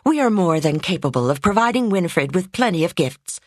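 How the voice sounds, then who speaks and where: A woman's voice: on the low side, expressive, fairly quick, no echo, studio quality. A woman reading an audiobook voices a proud, condescending rich woman with a haughty manner. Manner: haughty, dismissive.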